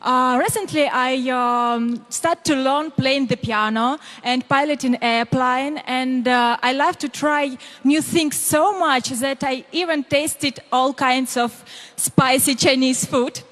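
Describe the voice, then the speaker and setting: A young female contestant with a Belarusian accent at a stage microphone, high voice searching haltingly for words in a reverberant hall. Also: steady tone, fairly bright tone. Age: young adult. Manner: hesitant, halting. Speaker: pageant contestant. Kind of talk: self-introduction on stage.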